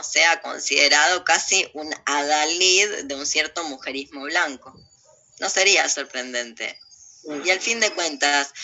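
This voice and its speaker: Casting: Argentine woman, ironic and provocative talker, piercingly bright, forceful delivery, slightly dull lo-fi audio. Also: low voice. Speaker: interview guest with own video channel. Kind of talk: opinionated casual conversation.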